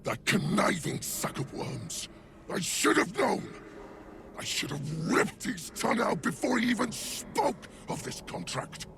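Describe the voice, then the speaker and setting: An actor playing a furious, brutish, vengeful devil hunter in a fantasy game, growling in explosive, snarling outbursts. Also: male voice, high-pitched, sing-song, a little slow, music in the background, heavily acted. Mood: enraged. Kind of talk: theatrical fantasy game acting.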